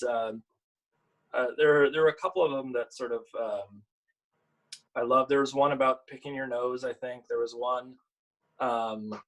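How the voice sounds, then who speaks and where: Slightly husky man, informal storytime presenter. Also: medium pitch, a bit bright, low-quality recording. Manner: informal, halting when chatting. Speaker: picture book author and illustrator. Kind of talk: virtual storytime, reading aloud and chatting about the books.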